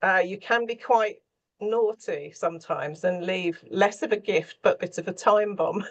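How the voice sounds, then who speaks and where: Woman, medium pitch, chatty and discursive, informal interview guest. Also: measured pace, highs cut off. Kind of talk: informal explanation in conversation.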